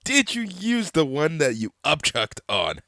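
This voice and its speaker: A man's voice: a little high, huge pitch swings, unhurried, sharp tone, no room sound, studio quality. A man from Canada hosting a casual podcast, chatty and upbeat, laughing easily. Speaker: podcast host.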